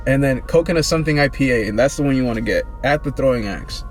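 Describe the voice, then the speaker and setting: A man's voice: medium pitch, fast, soft background music. Laid-back young man chatting to camera. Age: 20s. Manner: laid-back, rambling, slangy. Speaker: vlogger. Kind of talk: casual get-to-know-me chat.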